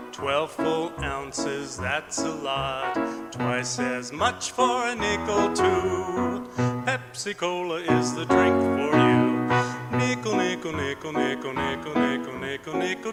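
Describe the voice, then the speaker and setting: Loud piano accompaniment fills a reverberant hall. A woman sings old-time radio advertising jingles in a slightly low voice at an unhurried pace. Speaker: jingle singer. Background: piano and music.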